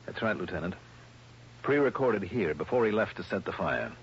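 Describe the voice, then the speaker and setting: A dull, noisy old radio recording of a hard-nosed American detective. He is clipped and dry, insistent with everyone, in a lowish voice. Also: lively intonation, moderate pace. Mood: shrewd, confident. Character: brisk, shrewd male insurance investigator, radio detective hero. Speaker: lead actor and narrator. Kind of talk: mid-century American radio drama acting.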